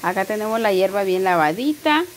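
A Guatemalan woman talks viewers through breakfast in a home kitchen, friendly and explanatory, often checking in with a questioning tag. Her voice sits at a middle pitch. Frying and sizzling are clearly heard around her. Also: animated, a little fast. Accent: Guatemalan Spanish. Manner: friendly explaining, many rhetorical "¿verdad?" tags. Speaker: cooking show host. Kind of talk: casual cooking demonstration. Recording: loud sizzling and kitchen noise.

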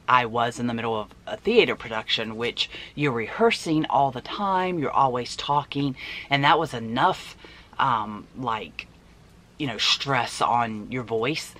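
A woman in her forties with a hoarse voice, chatting casually and emphatically to camera like a shopping vlogger. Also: rumbling low, fairly bright tone, a little hiss or hum. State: hoarse voice from allergies. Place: small indoor room at home.

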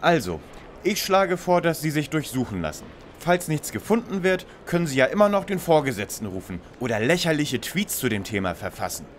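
A man plays a pedantic young detective leader in a spoof audio drama. He speaks in wordy, lecturing sentences with lively intonation, a faint ambience behind him. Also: a little high, a little fast, a little hiss or hum. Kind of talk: parody detective radio play.

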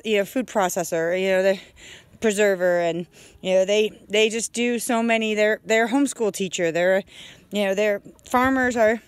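A woman in her forties giving a casual, halting homestead video diary outdoors, talking into a phone at arm's length. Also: medium pitch, no room sound. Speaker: homesteader vlogger. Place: outdoors on a farm. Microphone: phone held at arm's length.

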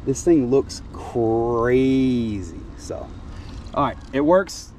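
A man, chatting casually to an action camera while fishing by a lake, excitable and quick to shout. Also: mid-range voice, slight background noise. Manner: excitable, talks while tying lures, quick bursts of shouting. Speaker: fishing vlogger. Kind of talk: casual outdoor chat to camera. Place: outdoors by a lake.

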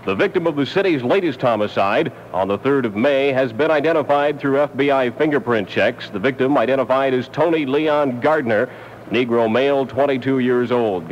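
A man's voice: mid-range voice, moderate pace. An American man reading the news formally as a 1970 AM radio newscaster. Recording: muffled, noisy 1970 AM air check.

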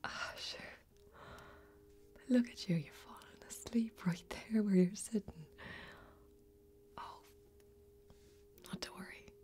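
Woman acting a whispery, mellow, kindly warrior woman. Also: mid-range voice, natural voice. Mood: reassuring. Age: young adult. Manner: whispery, gentle, folksy, reassuring, slow with pauses. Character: kindly, protective woman warrior of a fantasy land. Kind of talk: soft-spoken ASMR fantasy role-play.

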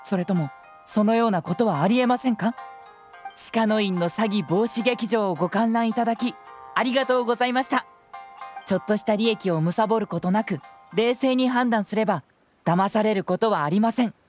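A woman voicing a clever, composed young male detective in a game, with polished, measured, theatrical delivery. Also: medium pitch, a little mellow, soft background music, close to an everyday voice. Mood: playful showmanship. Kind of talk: Japanese game voice acting.

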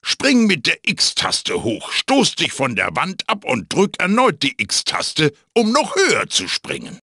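A man voicing a wise, fussy magical tiki mask mentor in a video game, with a raspy, theatrical delivery. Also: on the high side, huge pitch swings, slow pace, pushed and bright, dry recording, studio quality, full character voice. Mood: instructive, emphatic. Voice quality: raspy, gravelly. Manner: theatrical, emphatic, swooping delivery. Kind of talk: German video game voice acting, tutorial hints and quips.

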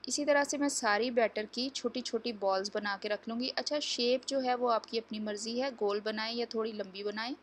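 A woman's voice: a little high, steady tone, normal speed, hardly any pauses, a little hiss or hum. A woman hosting a home cooking tutorial, explaining each step to viewers in a chatty, instructive way. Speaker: cooking channel host. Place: home kitchen.

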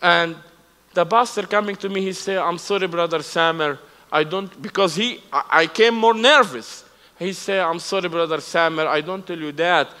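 Man, Arabic accent, 40s, high voice, bright edge, broken English, acts out dialogue, church stage, reverberant hall. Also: moderate pace. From Palestinian, raised in Lebanon. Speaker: guest speaker. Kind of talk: testimony preached on stage. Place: church stage with live audience.

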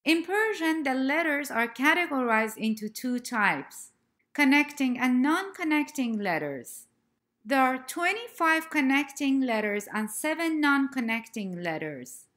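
Woman teacher, slide-lesson narration, unhurried pace, slightly high voice, careful enunciation. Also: very animated, fairly bright tone. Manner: slow, careful, clearly spelled-out letter names. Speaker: language teacher.

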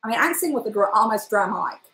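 A woman's voice: medium pitch, fast talker, roomy sound, a little hiss or hum. A middle-aged woman chatting animatedly on a live stream from her home kitchen, with a powerful trained voice, recorded on a webcam. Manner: chatty and animated, gesturing, breaks into song. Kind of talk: casual live-stream chat. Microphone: webcam at desk distance.

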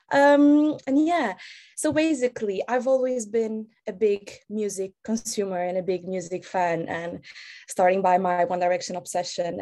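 A woman's voice: mid-range voice, mellow. Casting: young Portuguese-accented woman, chatty intern on a video call, mid-height voice. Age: 20s. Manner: chatty, many "like" fillers. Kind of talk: casual self-introduction on a video call.

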